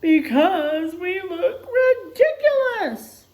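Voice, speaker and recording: A woman's voice: extremely high pitch, big pitch swings, extremely slow, darkish tone, pitched above the performer's own voice, heavily acted. A woman voicing outraged, bewildered animals in a children's storybook, with dramatic exclamations. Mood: whiny complaint.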